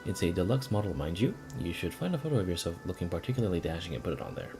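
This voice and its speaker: A man voicing a cheerful, bossy old man's letter in a video game, in a brisk and breezy manner. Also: low voice, big pitch swings, over music. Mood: breezy, pleased.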